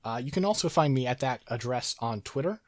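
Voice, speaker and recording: A man's voice: medium pitch, lively intonation, brisk, darkish tone, no room sound. A man hosting a podcast in casual chat, sick with a sore throat and losing his voice. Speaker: podcast host.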